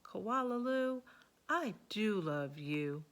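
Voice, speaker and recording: A woman reading a storybook as a loving mother koala, with a drawn-out, affectionate delivery. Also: medium pitch, expressive, a bit bright. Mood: tender, loving. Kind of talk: storybook read-aloud.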